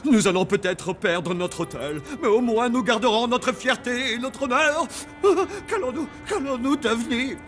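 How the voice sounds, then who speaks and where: A man's voice: very high-pitched, moderate pace, music in the background. A man voicing a proud, dramatic zombie father who runs a hotel, in a cartoon dub. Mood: despairing. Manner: theatrical, swings from indignant to despairing. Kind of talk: French cartoon dubbing.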